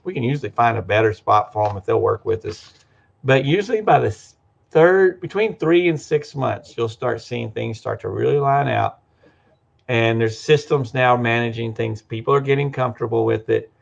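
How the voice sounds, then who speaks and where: A man's voice: medium pitch, expressive, normal speed, creaky. A man who is a guest in an interview, explaining his business in a loose, conversational way with frequent restarts. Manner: conversational, many restarts and "you know". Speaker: practice management business guest. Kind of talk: casual interview. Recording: dull lo-fi audio.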